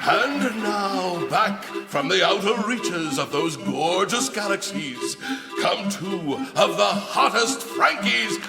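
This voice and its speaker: An actor playing a grandiose showman announcer in a radio play, with florid, drawn-out, rousing delivery over a stage show. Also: high-pitched, expressive, gravelly, exaggerated voice. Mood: hyped, building. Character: grandiose showman announcer introducing a techie circus act. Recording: echoing show hall, music and crowd.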